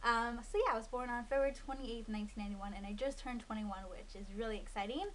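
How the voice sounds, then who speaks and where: A woman's voice: fairly high pitch, fairly even intonation, fast talker. A woman in her early twenties chatting casually to camera, rambling with lots of ums and likes. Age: 20s. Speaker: beauty vlogger. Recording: faint hiss.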